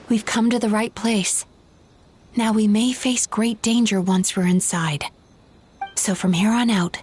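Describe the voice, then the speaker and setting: Calm, measured investigator. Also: female voice, mid-range voice, slightly rough. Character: calm, perceptive young woman investigator. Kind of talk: game voice acting. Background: faint game music.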